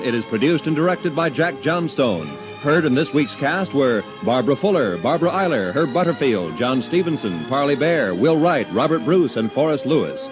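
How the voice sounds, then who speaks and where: A man giving smooth, formal 1950s radio announcements on a muffled old broadcast with music. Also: medium pitch.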